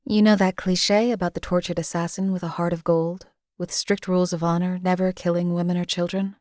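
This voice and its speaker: A woman voicing a cold-blooded female assassin companion in a game, flat, clipped and detached. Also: lowish voice, fairly quick, no room sound, studio quality, natural voice.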